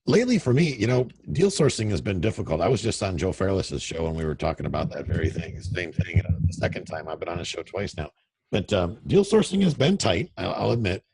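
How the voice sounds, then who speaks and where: A middle-aged man with a very deep, gravelly radio voice, telling candid, self-deprecating stories. His tone is husky, and the sound is close and dry with no room around it. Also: darkish tone, dry recording, poor audio. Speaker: real estate investor guest. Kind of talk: casual interview over a call. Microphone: remote call audio.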